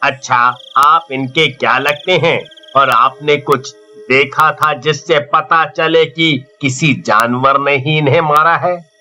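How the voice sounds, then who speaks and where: A man playing a grave, commanding story hero who investigates killings, speaking with deliberate emphasis in horror story dialogue. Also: fairly high pitch, fairly even intonation, sharp tone, exaggerated voice. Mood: grave, determined.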